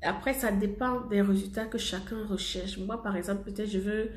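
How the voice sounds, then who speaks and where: A chatty woman with a slight head cold, talking casually to her followers about a new product. She repeats points and laughs between lines, in a voice of middling pitch. The sound is echoey, as if recorded in a bare room. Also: steady tone, moderate pace, a little hiss or hum. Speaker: cosmetics seller presenting a product. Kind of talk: casual product vlog to subscribers.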